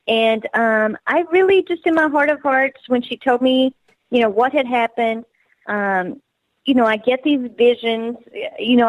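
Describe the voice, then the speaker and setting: Woman, Texas accent, higher voice, earnest and excitable, radio interview guest. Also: no room sound, low-quality recording. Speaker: guest author.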